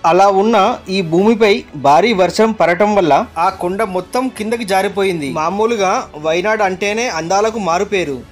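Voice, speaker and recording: A man reading scripted narration for an explanatory facts video. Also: high-pitched.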